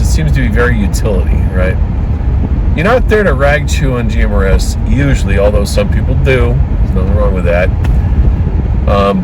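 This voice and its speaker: Man vlogging over loud road noise. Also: medium pitch. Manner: conversational, opinionated, frequent fillers and restarts. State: dry throat, occasional coughs. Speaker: ham radio vlogger. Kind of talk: casual rambling vlog talk.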